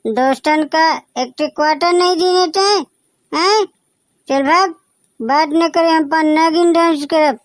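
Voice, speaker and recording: A man playing a brash, pushy young reveller in a comic cartoon, with a Bagheli accent and a pitched-up voice. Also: fairly even intonation, a little slow, a bit bright, dry recording, clean studio sound. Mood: boisterous, taunting. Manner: brash, taunting, slangy. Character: rowdy, pushy young man set on wedding dancing.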